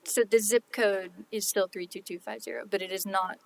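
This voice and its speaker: A woman's voice: mid-range voice, steady tone, fairly quick, creaky, slight background noise, rough recording. A woman representing the city at a hearing, carefully checking details with clarifying questions.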